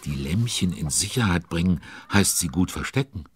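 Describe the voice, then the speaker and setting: A deep-voiced avuncular narrator of a children's radio play. His voice is husky and recorded very dry, and he tells the tale in a chatty, involved way. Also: expressive, moderate pace, no room sound, full character voice. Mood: involved, concerned. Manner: chatty, involved storyteller commenting on events. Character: avuncular, concerned male storyteller of a children's tale.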